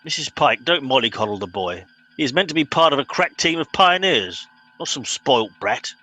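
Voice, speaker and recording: A man acts a self-important English spaceship captain in a comedy radio play. He is officious and easily exasperated, with a bright, forceful voice over faint music. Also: mid-range voice, expressive, normal speed. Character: pompous, impatient middle-aged spaceship captain. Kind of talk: comic radio play acting.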